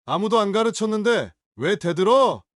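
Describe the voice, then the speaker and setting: An actor playing a hostile, hungover young adult son in an audio drama, sneering and confrontational. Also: male voice, high-pitched, big pitch swings, moderate pace, dry recording, clean studio sound. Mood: raging.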